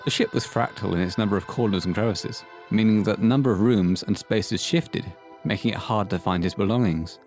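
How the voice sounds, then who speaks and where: A man with a fairly low voice narrates a scripted horror audio drama. He reads as the storyteller, with faint music playing behind him. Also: a little fast, few pauses, darkish tone.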